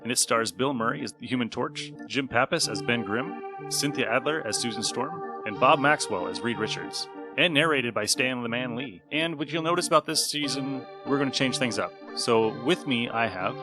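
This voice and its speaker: A male podcast host delivers a scripted introduction in a mid-range voice. Loud music plays behind him as he presents the show. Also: medium pitch, moderate pace, low-quality recording.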